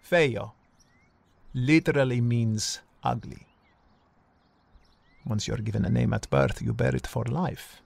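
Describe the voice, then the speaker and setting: Slow, creaky, brooding man narrator acted out. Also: mid-range voice, exaggerated voice. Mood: solemn, wry. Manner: deliberate, solemn, poetic phrasing with weighty pauses. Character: brooding, self-loathing man narrating his own life. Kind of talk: dramatic first-person voice-over.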